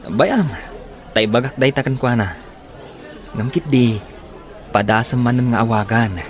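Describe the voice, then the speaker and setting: A man's voice: mid-range voice, unhurried, a faint crowd, close to an everyday voice. A man playing a frank young friend giving advice, with an Ilocano accent, in a radio drama. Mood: advising. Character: frank young male friend advising a heartbroken woman.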